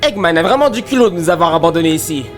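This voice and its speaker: A man's voice: a little high, expressive, racing, soft background music, natural voice. A man playing a cocky, upbeat hedgehog hero in a cartoon fan dub. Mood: annoyed.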